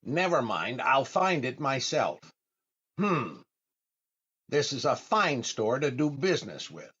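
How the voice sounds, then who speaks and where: A man's voice: medium pitch, sing-song, takes it slowly, pushed and bright, breathy edge, exaggerated voice. An actor playing a fussy, hesitant, easily exasperated male comedian in a 1940s-style radio comedy. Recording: dull online radio-play recording.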